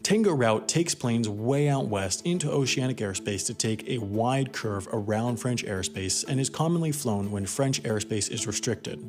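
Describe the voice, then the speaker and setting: A man's voice: mid-range voice, moderate pace, hardly any pauses, music in the background. A man reading scripted explainer narration as a narrator.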